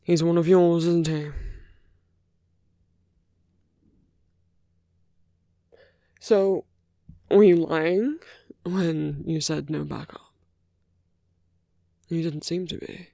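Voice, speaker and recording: A performer playing a suave male villain in a romantic ASMR roleplay, speaking in hushed, deliberate phrases with pauses. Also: medium pitch, dry recording, clean studio sound. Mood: accusing, concerned. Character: suave male villain urging a captured hero to flee.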